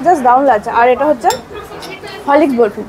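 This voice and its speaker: A woman's voice: high voice, brisk. A woman food vlogger, chatting casually and enthusiastically about dishes in a restaurant, repeating her praise. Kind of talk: casual food review chat. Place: busy restaurant. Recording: crowded restaurant noise. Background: crowd chatter.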